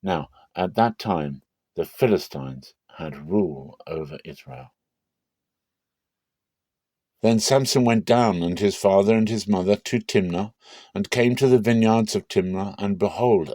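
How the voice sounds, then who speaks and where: Low-voiced man reading scripture dramatically. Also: unhurried, warm tone, no room sound. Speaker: narrator.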